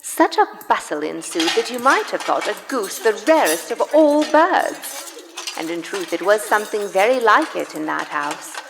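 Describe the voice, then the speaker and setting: A woman's voice: mid-range voice, sing-song, normal speed, gravelly, background noise. A woman narrating a Victorian tale as the scripted storyteller of a live radio play. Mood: descriptive. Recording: live in a reverberant church hall.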